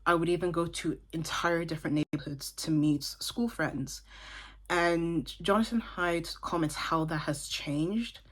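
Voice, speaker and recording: A woman in her twenties from Dublin, chatting casually and thoughtfully about a book, with side remarks. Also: low-pitched. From Ireland (Dublin). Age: 20s. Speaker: book vlogger. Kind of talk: casual book review talk.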